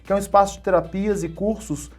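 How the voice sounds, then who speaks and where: A man's voice: high-pitched, fairly even intonation, fast talker. Chatty talk-show host man. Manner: chatty, laughs easily. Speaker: interview host. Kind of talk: interview hosting.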